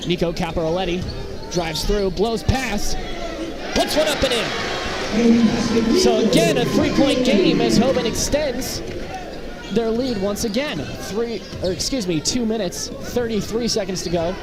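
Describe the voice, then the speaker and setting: A man's voice: high-pitched, animated, unhurried, over loud music. A man doing live play-by-play basketball commentary in clipped, fragmentary calls. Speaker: play-by-play announcer. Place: indoor basketball gym, up behind a balcony. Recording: loud gym crowd and court noise. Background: crowd, bouncing basketballs.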